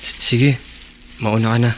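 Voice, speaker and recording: Radio drama husband, played by an actor: poor, young, hot-headed yet self-sacrificing, medium pitch, earnest delivery. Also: male voice, flat delivery, a little slow, lightly acted. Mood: downcast, evasive. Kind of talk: Tagalog radio drama acting.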